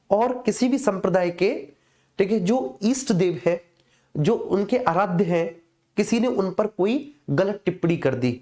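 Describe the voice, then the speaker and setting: A woman's voice: medium pitch, mellow. A woman teaching an exam coaching class informally, explaining didactically and often repeating herself to check that students follow. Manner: didactic, repetitive, checking understanding with frequent tag phrases.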